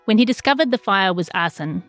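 A woman's voice: mid-range voice, animated, fairly quick, a bit bright, soft background music. A woman with a New Zealand accent reads a written news feature as a journalist, in scripted narration.

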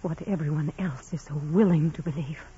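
An actress playing a severe, bitter senior nun in 1940s radio drama style, stern, clipped and intense. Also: female voice, low voice, a little fast, warm tone, breathy edge. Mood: bitter anguish. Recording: dull, hazy vintage radio recording.

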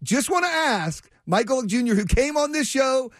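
A man's voice: very high voice, big pitch swings, moderate pace, dry recording, studio quality. A man hosting a sports talk radio show, talking in a conversational, effusive, rambling way. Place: radio studio.

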